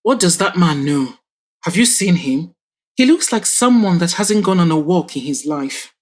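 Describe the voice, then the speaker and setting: A woman's voice: lowish voice, expressive, moderate pace. A woman voicing a stubborn, irritable older father who grumbles sarcastically and flares into anger. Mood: grumbling.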